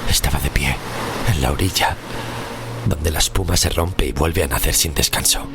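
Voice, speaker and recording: An actor playing a weary, tormented sixteenth-century shipwrecked sailor, narrating a horror audio drama in a grave, slow, literary way with a gravelly, very deep voice. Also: male voice, heavy background noise. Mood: awed. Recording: music under the voice.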